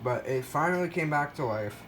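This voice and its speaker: A man's voice: fairly high pitch, lively intonation, a little fast, very noisy. A man giving a casual show-and-tell narration in a hesitant, rambling way, often correcting himself. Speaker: video creator showing a weather radio collection.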